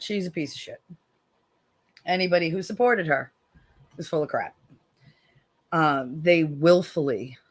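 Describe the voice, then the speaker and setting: An American woman hosting a casual livestream, reading viewer comments and talking bluntly, with profanity and sarcastic jabs. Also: dry recording. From United States. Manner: blunt and profane, swings from low weary tones to sharp sarcastic jabs. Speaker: livestream host.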